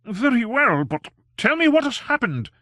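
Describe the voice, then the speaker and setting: Guy reading as a worried old doctor, voice jumping up high, lots of swings, dry close sound. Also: big pitch swings, normal speed, bright, forceful tone, no room sound, exaggerated voice. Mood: alarmed. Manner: high, agitated exclamations. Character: anxious elderly male doctor, fretful and fatherly. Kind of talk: audiobook character voice.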